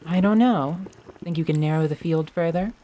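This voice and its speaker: A woman playing a gruff, wry female winged warrior in a radio theatre drama, speaking tersely and formally. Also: low voice, normal speed, dark tone, natural voice. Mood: wary curiosity. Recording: clear background noise.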